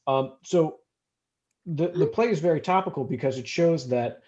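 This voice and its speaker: A man explaining his professional view haltingly in an unscripted panel discussion over a video call. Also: on the high side, darkish tone. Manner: halting, explanatory, many hesitations. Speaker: panelist, housing discrimination professional. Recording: dull, lo-fi video call audio.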